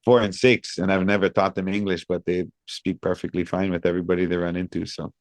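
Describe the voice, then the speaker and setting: A man in his thirties from Hawaii, answering interview questions in a thoughtful, measured way with many pauses. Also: deep voice, flat delivery, dry recording. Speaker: guest, reggae singer. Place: outdoors by a pool among high-rise buildings.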